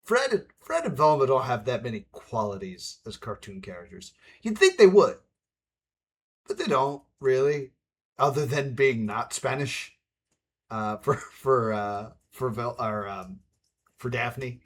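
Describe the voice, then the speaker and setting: A man gives casual reaction commentary as a let's play host. His mid-pitched voice swings lively as he rambles and drops wry asides. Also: measured pace.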